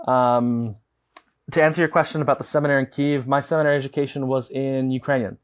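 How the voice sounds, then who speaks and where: A young man from the United States, a guest on a video call, explaining things at length in an earnest, measured way through wired earbuds. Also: mid-range voice, fairly even intonation. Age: young adult. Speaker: guest, advocate. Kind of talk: explanatory interview talk. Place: indoor home room.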